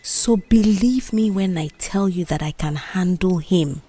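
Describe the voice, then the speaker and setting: Bold, scheming office girlfriend, played by the narrator; Nigerian woman, confident and protective, slow deliberate delivery, audio drama. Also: on the low side, warm tone. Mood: sly, confident. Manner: confident, reassuring, mischievous.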